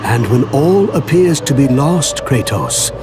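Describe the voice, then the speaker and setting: An actor playing a cryptic old gravedigger in a video game, wry and knowing. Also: male voice, medium pitch, big pitch swings, a little slow, over loud music. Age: older. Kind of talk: dramatic video game acting.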